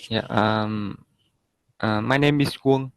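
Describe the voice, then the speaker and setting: A man from Vietnam, a course participant, introducing himself with many hesitant pauses. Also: on the low side, fairly even intonation, slight background noise. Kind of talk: self-introduction on a call.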